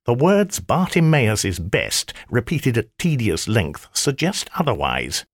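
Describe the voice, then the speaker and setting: A man's voice: mid-range voice, wildly swooping pitch, measured pace, dry recording, clean studio sound, voice pushed up. A man voicing an irritable old male magician, stern and sardonic, in a clipped, scolding manner for an audiobook. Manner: clipped, scolding, dryly sarcastic. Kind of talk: audiobook character voice.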